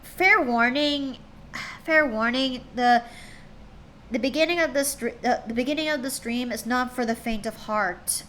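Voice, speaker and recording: A woman's voice: on the high side, a bit bright, slight background noise. A woman streamer reading fan fiction aloud to her chat, chatty and tripping over words now and then.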